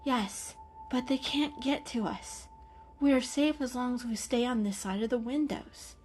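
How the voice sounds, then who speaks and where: A woman's voice: fairly high pitch, a little slow, a little mellow, slightly rough, faint music behind, voice pushed up. A woman voicing a patient, protective adult female cat who coaxes in a controlled, motherly way in an animal storybook reading. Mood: calm, reassuring. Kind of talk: children's animal story character voice.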